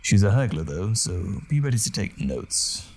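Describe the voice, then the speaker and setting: Gravelly, roguish fantasy haggler played by a man. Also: low-pitched, sing-song, moderate pace, darkish tone, exaggerated voice. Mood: easygoing. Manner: wry, teasing banter. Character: cheeky, roguish male fantasy haggler, gallant, battle-weary. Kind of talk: fantasy audio drama acting.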